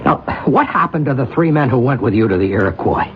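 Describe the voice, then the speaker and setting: An actor playing an urgent, suspicious warrior in radio drama, pressing and accusatory. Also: male voice, medium pitch, animated, brisk, natural voice. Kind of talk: old-time radio drama acting. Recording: muffled old radio recording, faint noise.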